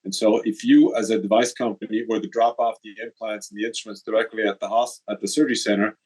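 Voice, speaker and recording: A man's voice: on the low side, little pitch movement, fast talker, darkish tone, breathy edge. A man speaking as a panelist, explaining a point carefully and checking it with the others. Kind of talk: panel discussion.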